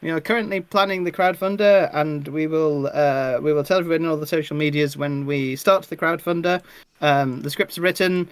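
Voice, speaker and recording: A man chatting casually on a livestream as a guest, speaking a little haltingly. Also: a little high, steady tone, smooth, no room sound. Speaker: guest audio drama writer and actor.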